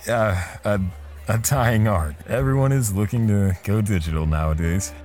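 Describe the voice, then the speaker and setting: A man's voice: on the low side, moderate pace, a little mellow, faint music behind, close to an everyday voice. A man playing a lonely, awkward artist in a first-person audio drama, with a self-conscious manner. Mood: flustered, awkward. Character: lonely, awkward male artist narrating his story.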